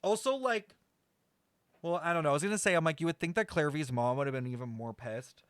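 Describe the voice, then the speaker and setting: A male streamer with a fairly high voice and a dry, echo-free sound. He comments casually on a game, rambling and thinking out loud with loose, sweary phrasing. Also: quick pace. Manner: rambling, thinking aloud, profane.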